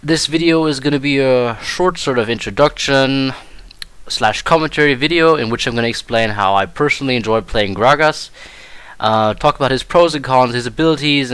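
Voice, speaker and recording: Young male gamer, German first language, halting tutorial commentary, mid pitch, dry close mic. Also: a bit bright, clean tone, no room sound. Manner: hesitant, many fillers and restarts. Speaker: gameplay commentator. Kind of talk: casual game tutorial commentary.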